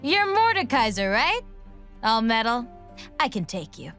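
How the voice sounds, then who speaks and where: An actress playing an angry, rebellious young armored warrior in a video game, brash and combative. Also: female voice, fairly high pitch, big pitch swings, unhurried, a bit bright, soft background music, exaggerated voice. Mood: guarded, tough. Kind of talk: video game character voice lines.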